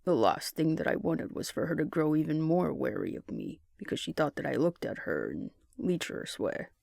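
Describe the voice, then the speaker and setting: Male audiobook narrator, higher-set voice with heavy fry, first-person story reading. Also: sing-song, normal speed, darkish tone, no echo, clean studio sound.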